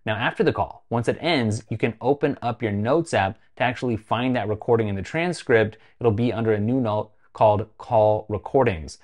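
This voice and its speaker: A man's voice: lowish voice, moderate pace, few pauses, a little mellow. A man in his forties with a North American accent, hosting a conversational tech explainer and casually stressing key phrases. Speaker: tech video host. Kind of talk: explainer vlog to camera, conversational. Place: small home studio room, indoors.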